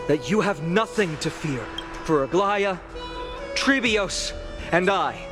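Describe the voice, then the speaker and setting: An actor playing a fervent young male demigod giving an impassioned, rousing speech in a game cutscene. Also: high voice. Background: game music.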